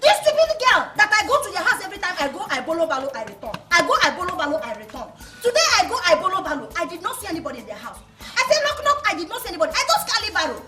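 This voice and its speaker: A woman's voice: extremely high pitch, big pitch swings, very fast, few pauses, roomy sound, loud music behind the voice. A woman with a Nigerian accent playing a hot-tempered, boastful village woman who scolds and threatens in pidgin English. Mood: boastful threats. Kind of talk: comedy skit acting.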